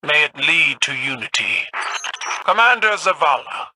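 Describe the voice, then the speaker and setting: Imperious alien warrior empress for a sci-fi game: slow, low-set, hard-edged and forceful, formal and grand. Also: female voice, lively intonation, slight background noise, exaggerated voice, rough recording. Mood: ceremonial, grand. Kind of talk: theatrical video game voice acting.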